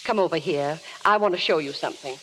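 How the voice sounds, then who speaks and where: An actress playing a matter-of-fact, weary casting office woman in a 1930s radio drama, dry and measured. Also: deep voice, lightly acted. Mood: dry, cautioning. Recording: hissy vintage radio broadcast.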